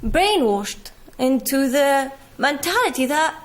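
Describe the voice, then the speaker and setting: A woman in her twenties with a Palestinian British accent, earnestly telling her personal story to an audience with halting self-corrections and wry asides. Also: high voice, lively intonation. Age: 20s. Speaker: speaker giving a talk. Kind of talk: personal testimony talk to an audience.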